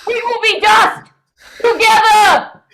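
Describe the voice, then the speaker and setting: An actress playing a scorned, vengeful vampire bride in a radio play, dramatic and deliberate. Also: female voice, very high voice, steady tone, gravelly, a bit bright, heavily acted, low-quality recording. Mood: vengeful, bitter. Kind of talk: old-time radio play acting.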